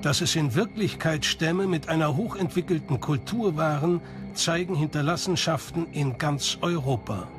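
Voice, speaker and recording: A man's voice: a little high, normal speed. A man reading scripted documentary narration. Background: music.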